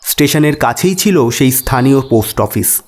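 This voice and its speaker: A man narrating an audio story as its host, in a measured, dramatic and expressive storytelling manner. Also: mid-range voice. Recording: background music under voice.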